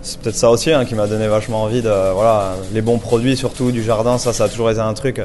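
A man's voice: on the low side, little pitch movement, fast talker, a little mellow, slight background noise. A man in his twenties, a chef from Paris, answering interview questions with many fillers and restarts. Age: 20s.

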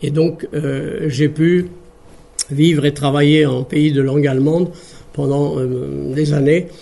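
A man from the Paris suburbs speaks at a public talk, with a gravelly edge and a slightly raised pitch. His delivery is measured and deliberate, reflective rather than showy. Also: steady tone, warm tone. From France, Paris suburbs. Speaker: speaker giving an evening talk. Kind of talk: public talk on bilingual education.

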